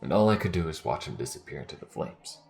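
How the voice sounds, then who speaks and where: A man playing a loyal, grieving spaceship smuggler in an audio roleplay, with a halting, earnest, emotional delivery. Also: a bass voice, lively intonation, gravelly, a little mellow, exaggerated voice. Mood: grieving, determined. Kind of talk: dramatic audio roleplay acting.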